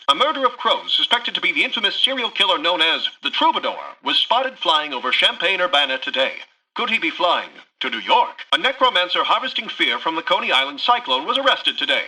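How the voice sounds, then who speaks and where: Punchy, bright old-time American radio newscaster. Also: male voice, high voice, husky, full character voice, low-quality recording. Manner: punchy, theatrical, sensational delivery. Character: brash male old-time radio newscaster, sensational and dramatic. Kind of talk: 1940s radio newsreel announcing, scripted.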